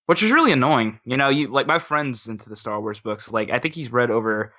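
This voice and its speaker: Bright-voiced man chatting informally. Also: medium pitch, animated, brisk. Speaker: podcast co-host. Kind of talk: casual chat.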